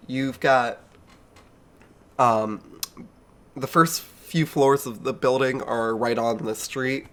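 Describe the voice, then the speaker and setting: A man's voice: medium pitch, a bit bright. A young man with a cold, sounding nasal and stuffed up, giving rambling, self-mocking gameplay commentary as a streamer. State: congested with a cold. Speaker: video game streamer.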